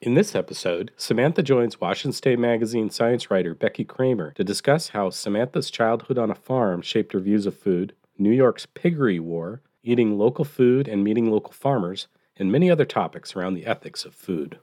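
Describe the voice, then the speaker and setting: A man hosting a podcast and reading a scripted introduction in a steady, clear way. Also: on the low side, normal speed, hardly any pauses, no room sound. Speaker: podcast host, magazine editor. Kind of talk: scripted narration. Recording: dry close studio voice over faint music.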